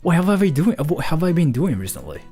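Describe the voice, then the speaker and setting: A man's voice: fairly high pitch, very animated, fast, dark tone, breathy edge, faint music behind. A man from Japan, a streamer, chatting casually and answering viewer questions in a rambling, loose way. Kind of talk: casual livestream chat, reading viewer questions.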